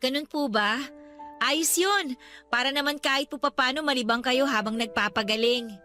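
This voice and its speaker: An actress playing a devoted young adult Filipino daughter, gentle, respectful and reassuring, in a radio drama. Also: female voice, sits high, moderate pace, soft background music. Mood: cheerful, caring. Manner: caring, respectful. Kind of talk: Tagalog radio drama acting.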